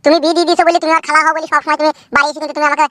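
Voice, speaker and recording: A woman's voice: sits high, little pitch movement, very fast, bright, forceful tone, dry recording, clean studio sound, pitched below the performer's own voice. A woman voicing a grumpy, bossy young husband in a comedy cartoon, talking down in a scolding way. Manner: scolding, condescending.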